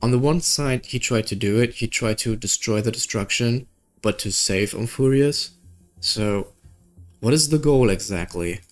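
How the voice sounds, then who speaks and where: A man's voice: medium pitch, a little slow, faint music behind. A man hosting a game playthrough, thinking aloud and questioning as he reacts. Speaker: gaming video host. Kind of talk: game playthrough commentary, reading dialogue and reacting.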